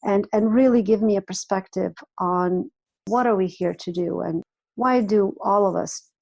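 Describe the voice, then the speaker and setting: A woman in her forties from Croatia, guesting on a podcast, talking in a warm, chatty way with frequent restarts. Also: mid-range voice, normal speed, gravelly, lo-fi sound. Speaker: guest, music artist and life coach. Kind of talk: casual podcast interview.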